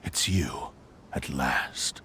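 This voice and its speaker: A man voicing a brooding, menacing swordsman antagonist in an anime game, slow and ominous. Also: a bass voice, whispered, full character voice. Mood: brooding menace. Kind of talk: English anime game voice acting.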